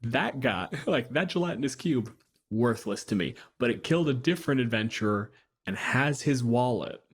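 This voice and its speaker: A man's voice: medium pitch, animated, normal speed, breathy edge. A man co-hosting a podcast with casual comedic chat, speaking in a blunt, wry, sweary way. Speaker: podcast co-host.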